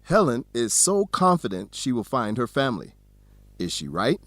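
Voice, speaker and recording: A man's voice: mid-range voice, very animated, normal speed, fairly bright tone, no room sound, studio quality. A man narrating a radio drama for English learners in simple, scripted sentences. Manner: simple sentences with rhetorical questions.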